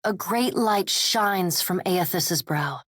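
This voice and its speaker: A woman playing a solemn storyteller, narrating a fantasy video game in deliberate, literary, dramatic prose. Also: lowish voice, lively intonation, fairly bright tone, no room sound, studio quality, full character voice. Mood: solemn awe.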